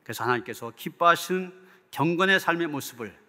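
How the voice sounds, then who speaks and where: A man's voice: a little high, animated, fairly quick, bright, forceful tone. A man preaching as a pastor in an earnest, emphatic and rhetorical manner. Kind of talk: sermon preaching, closing in prayer. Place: church hall. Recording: long hall reverb.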